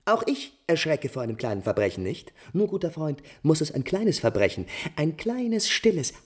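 An actor playing an imperious, anxious, quick-tempered prince in a classical German radio drama, speaking theatrically in abrupt questions and commands. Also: male voice, fairly high pitch, big pitch swings, slightly rough. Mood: scheming, uneasy.

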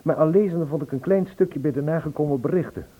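Actor as husky, anxious man, tinny old recording. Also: fairly high pitch, fast talker, natural voice. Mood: troubled recounting. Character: anxious, insistent man searching for a vanished woman. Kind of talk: Dutch radio play dialogue and recounting. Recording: husky, phone-like old radio play recording.

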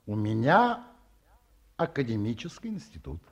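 An actor playing a courtly, self-satisfied middle-aged academic in an old Soviet radio play, speaking in formal, ornate, hesitant phrases. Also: male voice, medium pitch, huge pitch swings, a little slow. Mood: modestly boastful. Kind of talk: mid-century Soviet radio play acting. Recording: muffled-voiced 1950s radio studio recording.

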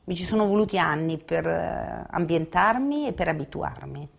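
An older woman reflects on her own past in halting phrases. Her voice sits very low for a woman, with a marked fry through it. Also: expressive. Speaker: witness giving testimony. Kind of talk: reflective personal recollection.

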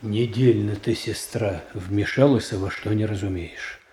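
An actor playing a cunning boyar who speaks calmly, firmly and deliberately in a Soviet-era radio drama. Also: male voice, on the low side, echoey room, a little hiss or hum, close to an everyday voice. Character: cunning boyar Boris, calm ruler.

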